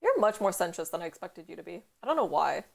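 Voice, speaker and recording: A young woman from Canada hosting a casual stream chat, rambling quickly with lots of "like" and casual swearing. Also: lowish voice, big pitch swings, vocal fry. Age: young adult. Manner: fast, rambling, heavy use of "like", swears. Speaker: streamer host.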